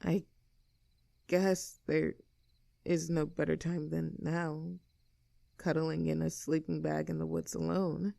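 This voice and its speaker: A woman playing a creaky-voiced, caring young tomboy. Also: low-pitched, flat delivery, warm tone, dry recording, studio quality, exaggerated voice. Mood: tender, shy. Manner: casual, teasing, tender, halting when flustered. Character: easygoing, caring young tomboy friend with a secret crush. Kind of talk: intimate scripted audio roleplay.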